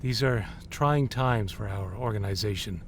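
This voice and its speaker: A man's voice: fairly low pitch, moderate pace, slight background noise, natural voice. A man voicing the stern chairman of a rebel organization in an audio drama. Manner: stern, commanding.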